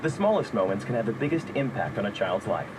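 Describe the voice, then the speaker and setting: A man's voice: lowish voice, very animated, fast talker. A man reading a public service announcement in an earnest tone. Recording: noisy background hiss.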